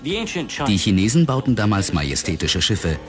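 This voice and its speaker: A man's voice: on the low side, very animated, normal speed, over music. A man speaking voice-over commentary for experts in a documentary.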